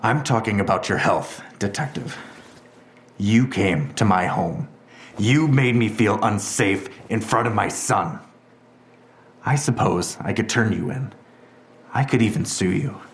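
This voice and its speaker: A man's voice: fairly low pitch, animated, measured pace. An actor playing a menacing, controlled avenger and protective father in an audio drama, speaking serenely and threateningly. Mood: calm menace. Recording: echoey room.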